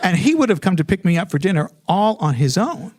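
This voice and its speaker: A man telling a personal comic story in a live storytelling style, animated, with dramatic timing. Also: high voice, brisk.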